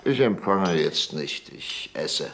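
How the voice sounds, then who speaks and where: An actor playing an agitated, idealistic middle-aged politician and professor in a German radio drama, speaking emphatically. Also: male voice, deep voice, animated, noisy background. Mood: shaken. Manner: impassioned, emphatic, halting when upset. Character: indignant professor and parliament deputy, agitated, idealistic.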